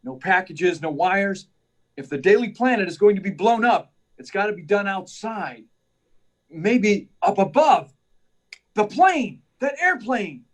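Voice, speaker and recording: A man's voice: high-pitched, big pitch swings, measured pace, full character voice. A man playing an earnest, determined hero reporter in an old-time radio drama, emphatic and urgent. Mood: urgent, resolute. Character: earnest, determined male reporter, secretly a superhero.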